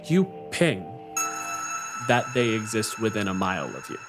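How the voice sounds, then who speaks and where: A man with a fairly low voice acts as game master. He talks conversationally as he narrates and runs a tabletop roleplaying game. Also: very animated, normal speed, music in the background.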